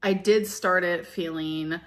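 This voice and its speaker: A woman's voice: fairly low pitch, steady tone, slow pace, a bit bright. A woman answers viewer questions in a loose, rambling vlog. She talks casually and freely. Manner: chatty, rambling. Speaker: video creator answering fan questions.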